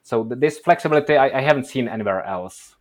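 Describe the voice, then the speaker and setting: A man from the Czech Republic with a Czech accent and Czech as his native language, answering interview questions in a measured, thoughtful way. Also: mid-range voice, lively intonation. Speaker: interview guest, workshop presenter.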